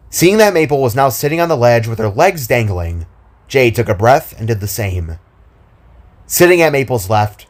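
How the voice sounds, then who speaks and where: A man reading scripted fantasy fiction as a dramatic storyteller. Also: mid-range voice. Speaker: storyteller and author. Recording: music behind the voice.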